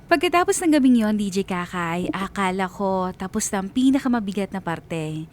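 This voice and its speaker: A man's voice: expressive, normal speed, soft background music. An actor playing a reflective, wistful man narrating his story in a radio drama, with a Filipino accent. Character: Liam as reflective, wistful male letter-sender narrator. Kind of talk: reflective scripted first-person narration. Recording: low rumbling background noise.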